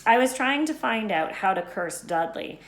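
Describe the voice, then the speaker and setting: A woman's voice: a little high, expressive, moderate pace, voice pushed up. A woman voicing a curious, unsure young boy in a children's storybook, earnest and questioning. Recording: echoey room.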